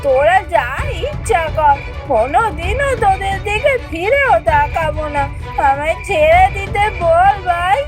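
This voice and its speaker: A woman's voice: very high-pitched, animated, unhurried, music in the background. A woman voicing a greedy, scheming aunt in a fairy-tale cartoon, whiny and exclaiming as she wheedles and pleads. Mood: frightened pleading.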